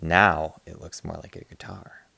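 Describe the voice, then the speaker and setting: A man reading a children's picture book aloud like a teacher, in an expressive, playful way. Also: low voice, unhurried, vocal fry, husky, no echo. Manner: expressive, playful, acts out sound effects.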